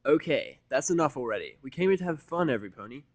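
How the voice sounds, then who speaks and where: A man's voice: mid-range voice, sing-song, fast, dry recording, voice pushed up. A man voicing a casual, assertive female pony who speaks bluntly in a story reading. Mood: fed up.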